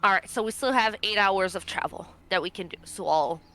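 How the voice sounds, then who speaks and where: A woman playing a tabletop roleplaying game, talking casually and informally with some halting phrasing. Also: medium pitch, fairly bright tone, low-quality recording.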